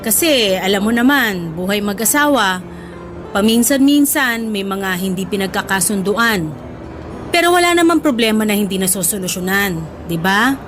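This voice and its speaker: A woman's voice: medium pitch, animated, normal speed, lightly acted. A woman acting a middle-aged mother in a Tagalog radio drama, fondly scolding her grown son with sighing interjections. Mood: confiding. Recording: music bed under the voice.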